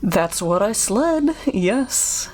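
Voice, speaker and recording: Girl doing a creepy hunched innkeeper guy from a game, lispy n weirdly polite, talks slow. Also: mid-range voice, sing-song, a little mellow, faint music behind. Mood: ominous, courteous. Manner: lisping, oddly emphasized words. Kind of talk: video game character read-aloud.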